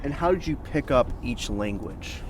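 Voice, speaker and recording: A man's voice: mid-range voice, lively intonation, normal speed, rough recording. A man hosts a casual podcast interview, upbeat and curious, asking questions at an easy clip. Faint traffic hums behind his voice in the outdoor setting. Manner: upbeat, inquisitive. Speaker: podcast host.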